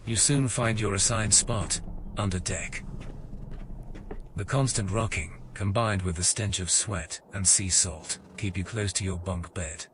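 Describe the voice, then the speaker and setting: An actor narrating a fantasy audio game as a calm, measured male storyteller. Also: low voice, background noise. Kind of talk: scripted narration.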